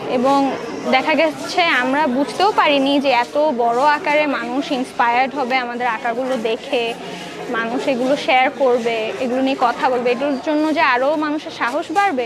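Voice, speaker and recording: A female cartoonist with a Bangladeshi Bengali accent answering conversationally in long colloquial sentences with English words. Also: high voice. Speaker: cartoonist interviewed. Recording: crowd chatter around speaker.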